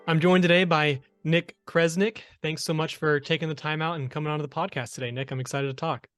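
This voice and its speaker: A male podcast host speaks earnestly and politely, moving from a read introduction into easygoing questions. His voice is a bit high for a man. Clear music plays under him. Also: steady tone, fairly quick, a bit bright, dry recording, studio quality. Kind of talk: scripted intro then casual interview.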